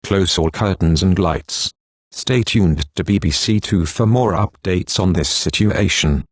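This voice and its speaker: Low, dry synthetic male alert announcer. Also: unhurried, no echo. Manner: formal, synthetic delivery. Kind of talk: scripted emergency broadcast, text-to-speech.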